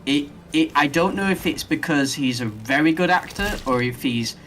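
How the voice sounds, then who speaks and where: A man hosting a casual podcast who rambles enthusiastically and thinks out loud as he talks. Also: fairly high pitch, normal speed, slight background noise. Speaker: podcast host.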